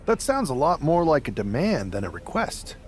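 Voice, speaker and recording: A man's voice: on the high side, wildly swooping pitch, normal speed. A man playing a skeptical, easily amused wrestling team leader in a game cutscene, talking in a wry, conversational way. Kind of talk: scripted game cutscene acting.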